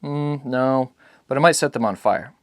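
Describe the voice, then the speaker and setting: A man playing a weary, protective, gentle father in a dramatic audiobook reading, answering briefly, patiently and with some hesitation. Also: medium pitch, moderate pace, no room sound, studio quality, voice pushed up, lightly acted.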